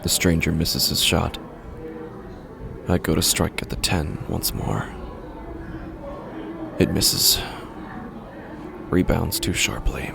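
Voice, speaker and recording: A man narrating a first-person horror story in a halting, uneasy way, often breaking off mid-sentence, over a music score. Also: low-pitched, gravelly. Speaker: podcast narrator.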